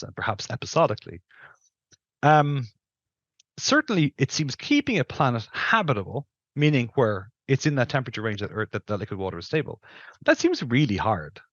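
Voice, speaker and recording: A man's voice: on the low side, a bit bright, no echo, lo-fi sound. A middle-aged man giving careful, qualified explanations as an interview guest, speaking into a headset on a video call. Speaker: guest planetary scientist.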